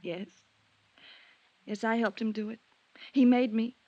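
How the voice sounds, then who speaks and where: A dull, vintage radio recording carries an actress as an anxious wife with something to hide. She speaks in a slightly high voice, hesitant and pleading. The delivery is melodramatic, in the manner of 1950s radio acting. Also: fairly even intonation, a little slow, dark tone, dry recording, close to an everyday voice. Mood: defensive, distressed. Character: anxious, guilty wife with a criminal past.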